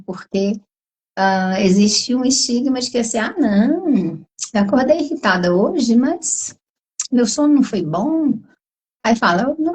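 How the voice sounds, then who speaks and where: A woman psychologist with a Brazilian Portuguese accent, hoarse from a cold, explaining at length in a live online conversation and tagging her sentences with questions. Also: fairly high pitch, darkish tone. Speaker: psychologist guest.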